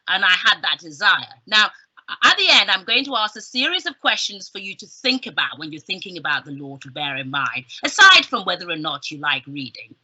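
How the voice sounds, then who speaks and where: A woman's voice: fairly low pitch, sing-song, fairly quick, bright, forceful tone, husky. A middle-aged woman with a southern English accent giving an informal, chatty careers talk as a guest speaker, with emphatic delivery and humorous asides. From southern England. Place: small indoor room with bookshelves, on a video call. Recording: slightly dull video-call sound.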